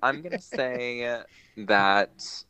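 A man guesting on a casual podcast, trading playful, campy, deadpan quips. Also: medium pitch, extremely slow, slight background noise.

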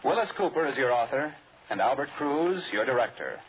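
Old time radio announcer guy, formal, mid pitch, thin sound w some hiss. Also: very animated, fairly quick. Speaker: network announcer. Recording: tinny, noisy 1940s radio recording.

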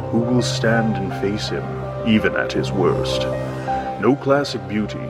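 A man's voice: lowish voice, measured pace. A man narrating a scripted dramatic trailer in a solemn, portentous manner. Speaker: promo narrator. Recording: loud music bed under voice.

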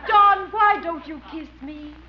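A woman's voice: very high voice, takes it slowly, a crowd in the background, noisy background. An actress in 1950s radio comedy playing a coy, flirtatious, gushing woman who coos her endearments. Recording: muffled 1950s radio recording.

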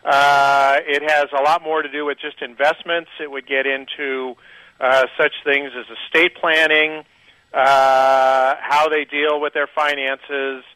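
A man answers a host's questions in a measured, halting way, with frequent fillers as he gathers his thoughts. His tone is bright and forward, and the sound is close and dry. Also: a little high, flat delivery, no room sound, poor audio. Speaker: guest portfolio manager. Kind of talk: radio interview answers.

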